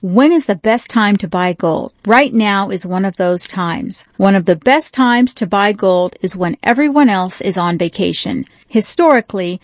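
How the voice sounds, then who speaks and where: A woman reading a scripted radio commercial in a calm, informative manner. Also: mid-range voice, dry recording, clean studio sound. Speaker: radio ad announcer.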